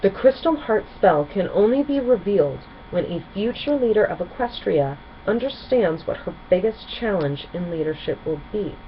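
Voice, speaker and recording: A woman voicing a gentle, reassuring pony princess who mentors others in a storybook. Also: medium pitch, animated, normal speed, few pauses, lo-fi sound, slight background noise, close to an everyday voice. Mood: gentle, instructive.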